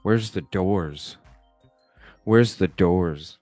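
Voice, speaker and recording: A man streaming live, chatting casually and thinking out loud with a stuffy nose, swearing now and then. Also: low voice, drawn-out words, dry recording, faint music behind. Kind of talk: casual chat reacting to a video. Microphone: desk microphone with webcam.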